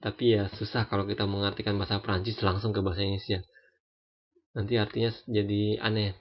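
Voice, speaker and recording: A man in his twenties giving a relaxed, hesitant French lesson, with many fillers and restarts. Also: fairly low pitch, quick pace. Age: 20s. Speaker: French language teacher. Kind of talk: casual spoken lesson. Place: France. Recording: dull lo-fi recording.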